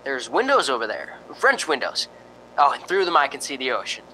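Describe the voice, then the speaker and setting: A man's voice: mid-range voice, wildly swooping pitch, fairly quick, pushed and bright, a little hiss or hum, heavily acted, poor audio. A man playing a jittery, joking, skeptical radio reporter in an amateur radio drama, with a folksy, stammering delivery. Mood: uneasy narrating. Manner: folksy, wisecracking, nervous stammering.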